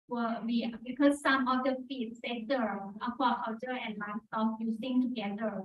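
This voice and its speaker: A woman from Thailand, an exhibition organizer's spokesperson, answering a press question haltingly, with many fillers and pauses. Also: fairly high pitch, recorded from a distance. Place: conference hall. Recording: echoey room, voice from afar, dull sound.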